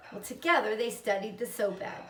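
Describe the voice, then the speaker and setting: A woman's voice: medium pitch, animated, fairly quick, fairly bright tone. A woman narrating a children's storybook as a read-aloud. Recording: roomy, echoing, distant microphone.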